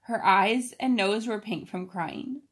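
Woman, medium-pitched voice, children's read-aloud, informal, expressive, casual explanatory asides. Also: takes it slowly, breathy edge. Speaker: storyteller reading aloud to children.

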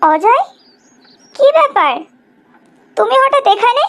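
A confident young bride acted by a woman in a cartoon drama. Her voice is extremely high, and she speaks with teasing, determined emphasis and theatrical questions. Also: sing-song, takes it slowly, full character voice. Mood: teasing, questioning. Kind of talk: animated cartoon drama dialogue.

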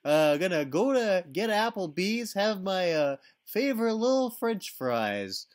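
A man voicing an excitable, simple-minded man-child who talks in broken grammar and squeals a bird song on a prank call. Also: high-pitched, measured pace, pitched above the performer's own voice. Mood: excited.

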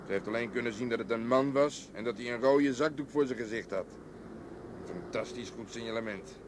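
A dry, grumbling male cop played by an actor in an old radio play. His mid-pitched voice is clipped and matter-of-fact, over a faintly noisy recording. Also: a little fast, natural voice. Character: dry, grumbling policeman on the beat. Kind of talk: radio drama acting.

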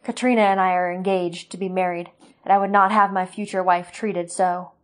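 A woman's voice: mid-range voice, level tone, fairly quick, lightly acted. A woman voicing a resolute young man who declares himself firmly and earnestly. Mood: defiant.

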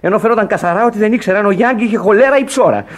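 An actor playing a rough, loyal sailor in a radio play, with a husky voice and blunt, earnest delivery. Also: male voice, high voice. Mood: bitter. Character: rough, loyal sailor, protective of his mate. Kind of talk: Greek radio play acting.

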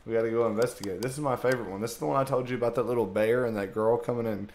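A man's voice: mid-range voice, fairly even intonation, very fast, a little mellow. A man joking and swearing casually while playing a horror game with friends. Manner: joking, profane. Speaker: video game player. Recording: echoey voice chat with faint noise.

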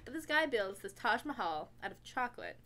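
A young woman co-hosting a podcast, chatting casually in a giggly, excitable way. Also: on the high side, brisk. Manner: giggly, excitable, repeats words. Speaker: podcast co-host. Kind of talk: casual chat.

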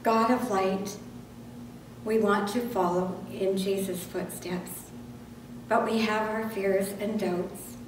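An older woman reads liturgy aloud at a slow, solemn pace. She sounds distant from the microphone in a very reverberant church, with noticeable noise. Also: medium pitch, steady tone. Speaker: lay reader in church service. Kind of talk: scripted liturgy and scripture reading. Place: medium-sized church sanctuary, indoors. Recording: very echoey church, distant mic, noisy.

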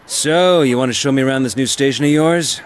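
An actor playing an earnest, self-serious police officer with a clipped, dramatic delivery in a video game. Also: male voice, mid-range voice, brisk, a little hiss or hum, close to an everyday voice. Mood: modest, friendly. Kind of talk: video game cutscene acting. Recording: music behind the voice.

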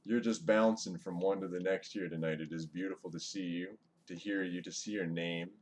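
Deep-voiced man streaming on a webcam mic. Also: steady tone, moderate pace, a little mellow. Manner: chatty, greets viewers, mutters over gameplay. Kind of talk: casual live-stream chat while gaming. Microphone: webcam microphone, away from mouth. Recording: faint hiss from webcam mic.

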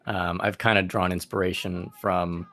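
A man's voice: low-pitched, level tone, normal speed, bright, forceful tone, faint music behind. A young man chatting casually in an interview about his voice acting. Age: young adult. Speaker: student voice actor. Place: bedroom. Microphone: close desk microphone.

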